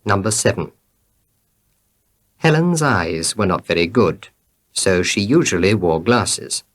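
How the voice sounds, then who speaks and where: A man's voice: lowish voice, animated, slow pace. A man reading a short scripted tale aloud for learners. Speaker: story reader.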